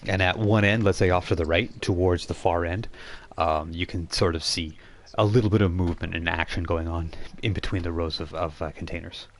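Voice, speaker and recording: A man improvising narration as game master of a tabletop role-playing game, explaining things in a halting, informal way. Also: low voice, steady tone, slight background noise.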